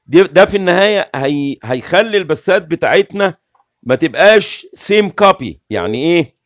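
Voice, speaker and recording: A middle-aged man with an Egyptian Arabic accent speaks quickly and insistently, as if walking a listener through a plan during a home livestream. His voice sits a touch high for a man, and it comes across dry and close, with no room sound at all. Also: no echo. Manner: animated, gestures constantly, explains insistently. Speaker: livestream host. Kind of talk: casual livestream talk. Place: small room with curtains, indoors.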